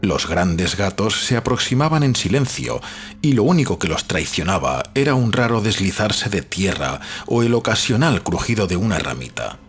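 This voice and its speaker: A man from reads literary fiction as a scripted audiobook narration, in a measured, expressive storytelling manner. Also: on the low side. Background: background music.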